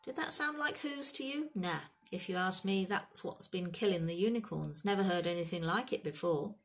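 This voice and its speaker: A woman's voice: fairly low pitch, moderate pace, natural voice. A woman voicing a big, kindly but grumpy gamekeeper man in a storybook, blunt and colloquial in rustic dialect. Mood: musing. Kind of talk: storybook character voice.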